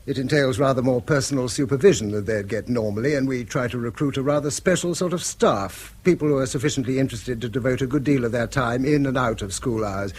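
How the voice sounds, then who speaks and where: An actor playing a modest, loyal British schoolmaster in a radio drama, polite, self-effacing and precise. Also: male voice, medium pitch, fast, hardly any pauses, close to an everyday voice. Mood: earnest, modest.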